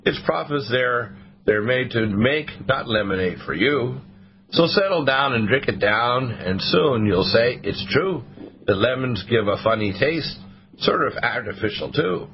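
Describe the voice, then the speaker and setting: A hoarse man hosting a talk radio show, reading a poem aloud and stopping often to restart his sentences. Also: medium pitch, animated, measured pace, a little hiss or hum. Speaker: host. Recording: dull lo-fi radio broadcast.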